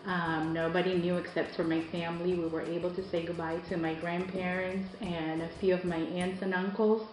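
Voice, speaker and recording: Low-voiced woman in a roomy recording. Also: little pitch movement, moderate pace. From Cuba. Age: about 40. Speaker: interviewee. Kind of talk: personal interview, recounting life story. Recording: roomy echo, music behind.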